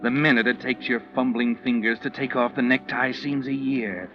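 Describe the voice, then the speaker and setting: A man's voice: medium pitch, moderate pace, close to an everyday voice. A man playing an ominous, taunting narrator in a 1940s radio mystery, speaking in a dramatic, insinuating way. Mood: taunting, grim. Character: ominous all-knowing male narrator taunting the accused man. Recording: muffled old 1940s radio recording, music behind.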